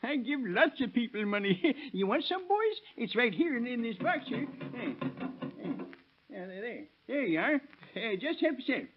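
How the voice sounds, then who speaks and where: A man's voice: very high-pitched, sing-song, moderate pace, slight background noise. Muffled old radio show, a cackling crazy hillbilly-type man, rural american accent, voice all over the place, hesitant and folksy. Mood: rambling, gleeful. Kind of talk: 1930s radio acting. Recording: muffled vintage 1930s radio recording.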